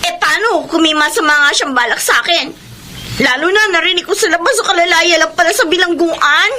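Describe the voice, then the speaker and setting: A very high-pitched young woman in a radio drama, tearful and defiant. She pleads and protests with raw emotion over a clearly noisy recording. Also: fairly quick, sharp tone. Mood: fearful, indignant. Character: frightened, defiant young daughter pleading with her parents. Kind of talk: Filipino radio drama acting.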